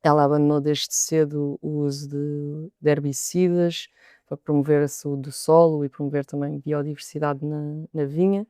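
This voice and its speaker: A young woman with a European Portuguese accent talks as a sommelier in a podcast interview. Her voice is smooth and clear, her pitch stays fairly level, and she often doubles a word before moving on. The sound is dry and close. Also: flat delivery, normal speed, mellow, no echo. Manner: thoughtful, repeats words as she gathers her thoughts.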